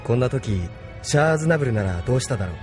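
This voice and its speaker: A man plays a young, unsure resistance leader in an anime-style audio drama. His delivery is gentle and reflective, with expressive swings in pitch, over a music bed. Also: racing, lightly acted. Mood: pensive.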